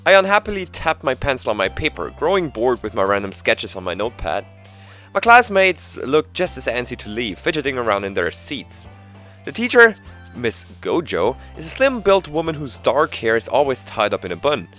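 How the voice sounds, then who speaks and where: A man with a cold, hoarse and stuffy-nosed, reading a visual novel aloud and breaking off into chuckling, self-mocking asides. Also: mid-range voice, sing-song, normal speed, a bit bright, soft background music. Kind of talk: reading a game's text aloud with joking asides.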